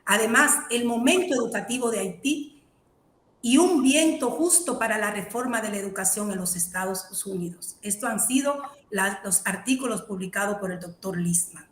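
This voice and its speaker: A woman moderating an event, giving a formal introduction in a measured, ceremonious way. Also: medium pitch. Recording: echoey room with faint noise.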